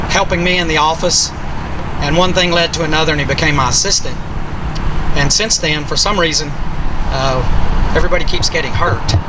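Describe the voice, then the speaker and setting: Folksy CEO speaking outdoors. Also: male voice, on the high side, heavy background noise. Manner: self-deprecating, folksy storytelling. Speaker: company CEO. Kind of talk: outdoor ceremony speech. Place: outdoors at a groundbreaking ceremony.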